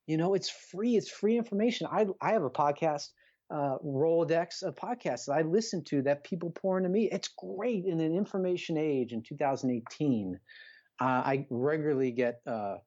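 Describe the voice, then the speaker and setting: A man's voice: fairly high pitch, moderate pace, mellow, dull audio. A middle-aged man talking as a podcast guest and coach in an earnest, emphatic, conversational motivational style, repeating phrases and asking rhetorical questions.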